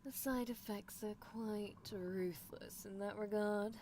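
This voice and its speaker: A woman's voice: mid-range voice, fairly even intonation, slow pace, a little mellow, a little hiss or hum, lightly acted. A woman playing a doting, possessive young female mad scientist in an ASMR roleplay, coaxing and theatrical, slipping toward whispers. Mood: apologetic, sly.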